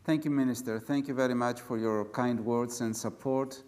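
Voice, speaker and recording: A man's voice: medium pitch, fairly even intonation, normal speed, darkish tone. A man moderating formally, giving thanks and introductions.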